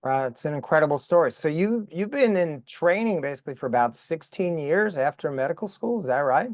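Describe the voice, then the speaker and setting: A man hosting a podcast interview over video call, raspy from a recent cold, enthusiastic and full of fillers. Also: a little high, expressive, no echo, clean studio sound. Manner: enthusiastic, halting with many fillers. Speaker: podcast host.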